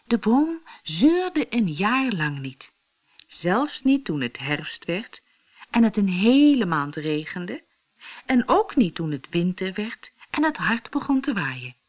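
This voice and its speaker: A woman narrating a children's fairy tale in an expressive, deliberate manner. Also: mid-range voice. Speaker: storyteller.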